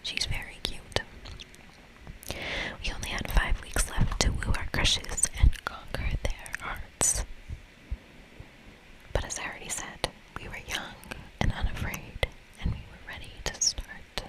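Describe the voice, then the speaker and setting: A woman whispering breathily in an ASMR style as she hosts a game playthrough, reading aloud and commenting softly. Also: a little slow, poor audio. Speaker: ASMR gaming host.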